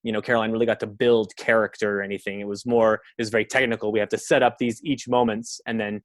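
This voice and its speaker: A man's voice: on the low side, flat delivery, fast, no echo, clean studio sound. A man, a filmmaker from Canada, talking casually on a podcast in a rambling way, full of fillers and the odd swear word. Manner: rambling, heavy on fillers and profanity. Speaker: filmmaker guest.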